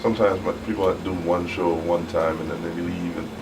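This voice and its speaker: A man's voice: low voice, little pitch movement, fairly quick, recorded from a distance. A man chatting casually and effusively as a radio host, repeating himself as he goes. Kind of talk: casual chat. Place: radio studio. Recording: off-mic with clear background noise.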